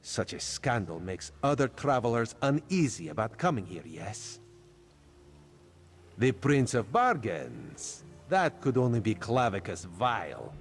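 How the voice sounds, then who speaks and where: Slow, swooping sly cat-man spy. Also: medium pitch, big pitch swings, soft background music. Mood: wary. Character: sly male cat-man spy who talks of himself in third person. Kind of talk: video game character dialogue.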